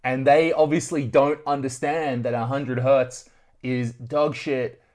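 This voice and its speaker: An Australian man hosts a casual story-time video. He speaks at a middling pitch in an animated, conversational manner, swearing freely as he goes. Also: slow. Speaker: retro tech video host.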